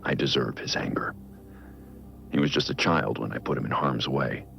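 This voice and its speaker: An actor playing a brooding, guilt-ridden middle-aged vigilante who records grave, measured, introspective audio logs in a video game. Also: male voice, a bit bright, full character voice. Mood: remorseful, grave. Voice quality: deep, gravelly. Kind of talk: scripted audio log narration, video game acting. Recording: muffled lo-fi audio log, music underneath. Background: music.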